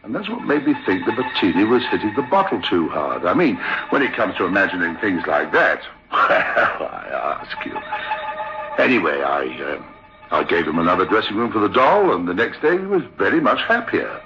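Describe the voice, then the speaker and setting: A man's voice: fairly low pitch, big pitch swings, moderate pace, over music. An actor playing an affable, sceptical theatre manager, chatty and hesitant, telling his tale in old-time radio drama style. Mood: incredulous, chatty. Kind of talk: old-time radio drama, conversational first-person storytelling. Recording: dull, lo-fi old radio recording.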